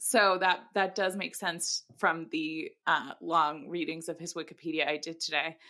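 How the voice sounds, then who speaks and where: A woman's voice: deep voice, little pitch movement, normal speed, pushed and bright. A woman co-hosting a podcast, talking in a chatty, informal, self-deprecating way about books. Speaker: podcast co-host. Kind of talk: casual book discussion.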